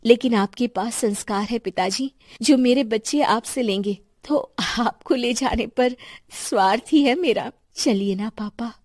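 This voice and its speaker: A devoted, respectful eldest daughter-in-law in a moral tale, voiced by a woman. She speaks politely and earnestly in a fairly high voice with a dry, close sound. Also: measured pace, a little mellow, no room sound. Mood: earnest, tender.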